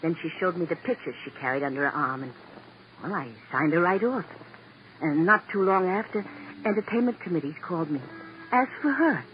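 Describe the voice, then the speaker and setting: An actor playing a sentimental, rambling booking agent with a folksy, plaintive manner in a 1950s radio drama. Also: male voice, high voice, expressive, fairly quick. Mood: mournful, wistful. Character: sentimental, chatty male showbiz booking agent. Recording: muffled, hissy old radio recording.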